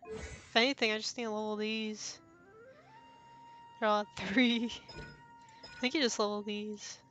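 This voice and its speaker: A woman's voice: medium pitch, level tone, a little slow, a bit bright. A woman streaming a game, chatting casually and thinking aloud in an absent-minded way. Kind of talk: casual gameplay stream chat. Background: game music.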